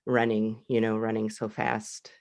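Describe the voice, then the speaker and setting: A woman's voice: rumbling low, monotone, unhurried, darkish tone, dry recording, studio quality. A woman hosting a podcast, interviewing in a casual, conversational way.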